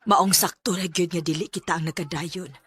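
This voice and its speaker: A woman acting in a Bisaya radio drama as a frank, wryly affectionate elderly widow, with a Cebuano accent and plain-spoken, gently teasing delivery. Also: fairly low pitch, steady tone, normal speed, slightly rough.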